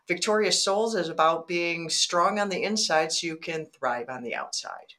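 A woman's voice: on the low side, normal speed. A woman from Michigan, a guest on a faith podcast, chatting earnestly and reading scripture aloud. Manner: earnest, animated, laughs while telling stories.

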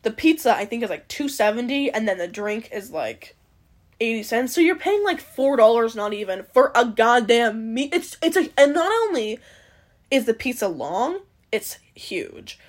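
A woman's voice: fairly high pitch, animated, moderate pace. A young woman from Canada hosting a podcast alone, rambling casually with many fillers and some swearing. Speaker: podcast host. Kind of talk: casual solo chat.

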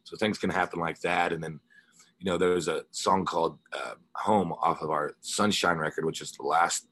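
A man's voice: low voice, steady tone, moderate pace, a bit bright, husky, no echo. A man being interviewed as a musician, chatting informally and telling rambling anecdotes. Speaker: musician interview guest, rock singer and guitarist. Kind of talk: casual chat, telling anecdotes.

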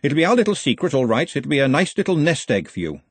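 A man's voice: fairly high pitch, sing-song, fast talker, breathy edge, dry recording, pitched above the performer's own voice, exaggerated voice. A man voicing a practical, bossy but caring working woman with a no-nonsense manner in a fantasy audiobook. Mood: sensible, protective.

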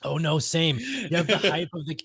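A man's voice: a little high, lively intonation, normal speed, fairly bright tone, slightly rough. A man co-hosting a podcast, chatting informally and with enthusiasm about games. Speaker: podcast co-host. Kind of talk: casual chat about games and radio plays.

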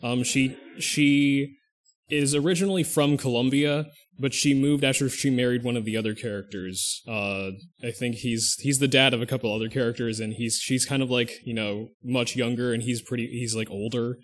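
A man's voice: mid-range voice, fairly even intonation, moderate pace. A man co-hosting a podcast in casual chat, rambling and often stopping to correct himself mid-sentence. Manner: rambling, thinks aloud, restarts and self-corrects often. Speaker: podcast co-host.

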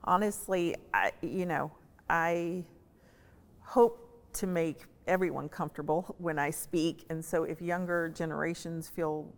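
A woman from the US South with a deep voice and plenty of vocal fry. She talks conversationally in a panel discussion, pausing as she chooses words. Her voice rings in a long reverb. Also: steady tone. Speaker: judge, panel guest.

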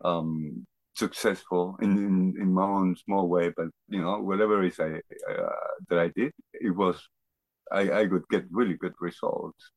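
Deep-voiced man from Argentina, halting and reflective. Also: fairly even intonation, no room sound, low-quality recording. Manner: halting, reflective, searching for words. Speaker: interview guest. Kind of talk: personal testimony in conversation.

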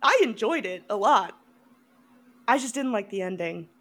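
A woman's voice: medium pitch, animated, moderate pace, a bit bright. A woman co-hosting a podcast in casual film chat, talking in a blunt, opinionated, giggly way. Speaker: podcast co-host.

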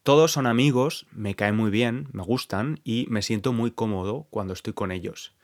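A young man from Spain with a Spanish accent, hosting a podcast and explaining things clearly and calmly to Spanish learners. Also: on the low side, normal speed. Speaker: podcast host teaching Spanish. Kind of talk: scripted podcast monologue for learners.

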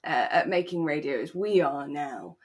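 A woman's voice: low-pitched, lively intonation, moderate pace, husky. A British woman co-hosting a podcast in casual conversation, chatty and given to questioning her own words. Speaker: podcast co-host. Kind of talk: casual chat about a radio drama.

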